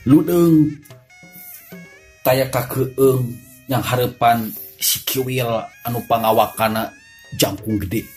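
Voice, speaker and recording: A woman's voice: rumbling low, steady tone, slow pace, long pauses, darkish tone, soft background music. A woman with a Sundanese accent narrating a folk tale in a dramatic storytelling style.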